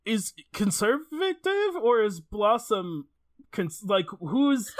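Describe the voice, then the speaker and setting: Lively, swooping woman podcast co-host. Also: mid-range voice, slow pace, very noisy. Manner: incredulous, exclamatory, swearing. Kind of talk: casual banter and commentary.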